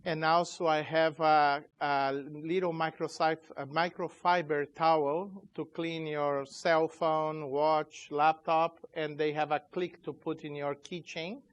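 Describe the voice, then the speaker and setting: Man in his 40s, a bit high-pitched, informal conference presenter. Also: flat delivery, lo-fi sound. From Chile, raised in Brazil. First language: Portuguese. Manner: informal, halting, loose grammar. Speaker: conference speaker, company CEO. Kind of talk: tech conference talk. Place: conference room.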